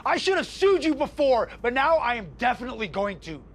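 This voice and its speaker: A woman's voice: a little high, very animated, brisk, slight background noise. An actress playing a haughty, vindictive female boss, curt and imperious, in a video game cutscene. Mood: indignant, smug.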